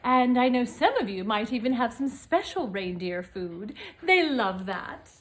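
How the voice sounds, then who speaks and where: A woman's voice: on the high side, animated, measured pace, slight background noise. A woman storyteller in the role of Mrs Claus, reading a picture book aloud to young children with chatty asides.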